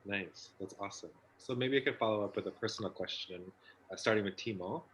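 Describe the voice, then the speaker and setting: A man's voice: fairly low pitch, a little fast, fairly bright tone, a little hiss or hum, rough recording. A man with an upbeat, enthusiastic manner, hosting an online panel discussion over a video call. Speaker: host, organization founder.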